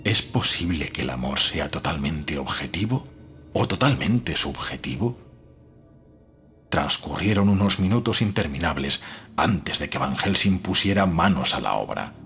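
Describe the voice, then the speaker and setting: An actor playing a troubled, doubting man who narrates a gothic horror audiobook in a measured, literary and dramatic way. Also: very deep voice, animated, a bit bright, soft background music, exaggerated voice. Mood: horrified, reflective.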